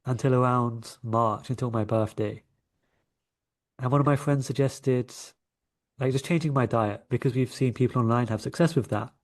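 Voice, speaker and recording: A man's voice: mid-range voice, normal speed. Man with a London accent. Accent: London English. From London, England. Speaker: interview guest, coach and energy healer. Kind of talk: conversational storytelling in an interview.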